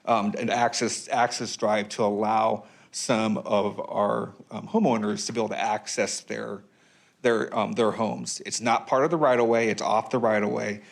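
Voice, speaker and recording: A man with a low, gravelly voice speaks at a city council meeting. His delivery is halting and full of ums and restarts. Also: flat delivery. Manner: hesitant, many ums and restarts. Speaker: public commenter. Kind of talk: public comment at a council meeting.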